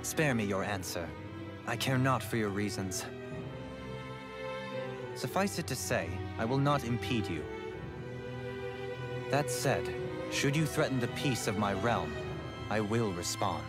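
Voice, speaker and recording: An actor playing an aloof, composed lord in a video game, speaking in formal, measured, aristocratic diction. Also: male voice, on the low side, expressive. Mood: cold, stern. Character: aloof, composed male lord of a realm, haughty and cold. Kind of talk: dramatic video game cutscene acting. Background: music.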